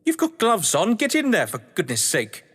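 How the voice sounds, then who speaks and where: An actor playing an older, upper-class British crime novelist, scheming and sardonic, in florid, pompous stage-drama style. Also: male voice, high voice, huge pitch swings, normal speed, fairly bright tone, breathy edge, exaggerated voice. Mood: impatient. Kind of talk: stage-play audio drama acting. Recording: echoey hall reverb.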